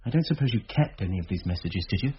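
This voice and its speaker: An actor playing a measured, sceptical man asking probing questions in a radio drama, with a husky voice. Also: on the low side, animated, muffled recording, slight background noise, natural voice. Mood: measured, grave.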